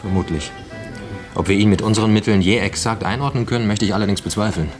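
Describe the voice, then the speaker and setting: A man's voice: on the low side, quick pace, soft background music, close to an everyday voice. A man acting a police subordinate who reports to the chief in a radio crime drama, factual, clipped and dryly precise. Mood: sober.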